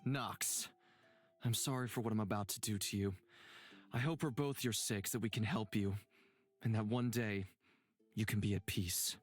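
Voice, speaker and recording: A man playing a solemn, compassionate wielder of soul magic in a visual novel, speaking in a grave, measured, dramatic way. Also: fairly low pitch, steady tone, close to an everyday voice. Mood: grave, apologetic. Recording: music behind the voice.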